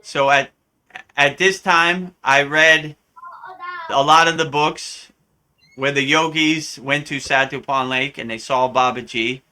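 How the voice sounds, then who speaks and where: A man from the United States, a spiritual teacher telling a story in a live Q&A, animated and often acting out the voices he quotes. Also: on the high side, slow. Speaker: spiritual teacher answering questions.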